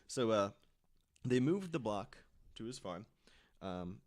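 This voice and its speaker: A man's voice: lowish voice, animated, slow pace, a little mellow. A man telling a story casually to friends as a podcast panelist, conversational and checking in with listeners as he goes.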